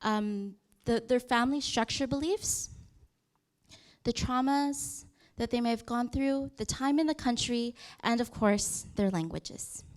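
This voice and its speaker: A woman in her thirties gives a conference presentation, pitched a bit higher than most women. She talks conversationally, often pausing with fillers and correcting herself mid-sentence. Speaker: youth program presenter. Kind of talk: conference presentation walking through slides.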